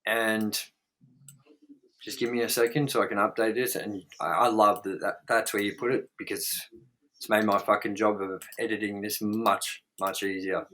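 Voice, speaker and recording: A man's voice: fairly low pitch, steady tone, moderate pace, fairly bright tone, a little hiss or hum, poor audio. A man co-hosting a casual movie review chat, rambling emphatically and swearing freely. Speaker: review show co-host.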